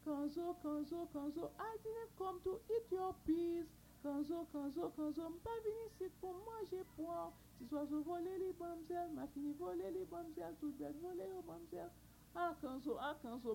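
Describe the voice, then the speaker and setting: A woman's voice: very high-pitched, moderate pace, vocal fry, dark tone, slight background noise, low-quality recording. A woman voicing a pleading male turtle who sings a repeating chant in a folk tale. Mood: pleading song.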